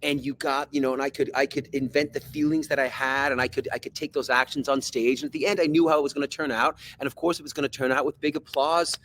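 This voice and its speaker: Reflective guest, halting repeated words. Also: male voice, medium pitch, steady tone, fairly bright tone, a little hiss or hum. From Switzerland. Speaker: podcast guest, actor and coach. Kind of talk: reflective personal story in conversation.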